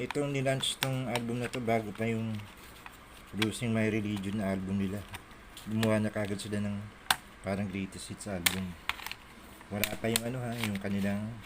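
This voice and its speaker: Clear background noise surrounds a handheld phone recording of a middle-aged man with a Manila Tagalog accent. His voice sits fairly low. He talks off the cuff like a collector showing his things, rambling and sometimes muttering to himself. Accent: Filipino, Manila Tagalog. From Philippines. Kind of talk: casual show-and-tell chat, off the cuff. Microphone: phone held in hand while filming. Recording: noisy handheld phone camera audio.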